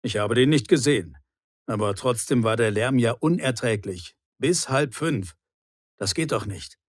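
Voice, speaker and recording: Particular male neighbour, acted by a man, reproachful, clearly articulated, medium pitch, dry recording, lesson dialogue. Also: a little slow, no echo, natural voice. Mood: indignant.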